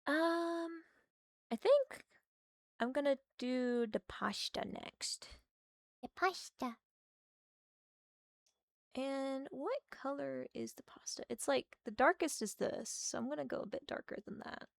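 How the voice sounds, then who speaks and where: A woman with a slightly hoarse voice from a sore throat, chatting casually and thinking aloud while she draws on a livestream. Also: sits high, slow pace, long pauses, dry recording, clean studio sound. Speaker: art streamer.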